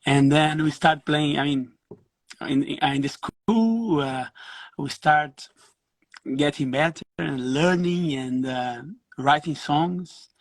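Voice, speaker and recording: A middle-aged man from Brazil talks about his music career over a video call, often stopping and starting his phrases. His voice sits a little high with a gravelly edge. The sound is dry and close, with no room around it. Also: dry recording. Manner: halting, restarts phrases, laughs easily. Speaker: guest musician. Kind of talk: casual interview chat.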